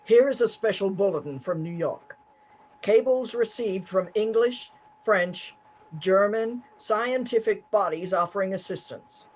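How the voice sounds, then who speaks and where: Guy doing a old-time 1930s radio newsman, high voice, formal urgent bulletin reading. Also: unhurried, low-quality recording. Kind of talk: 1930s radio news acting.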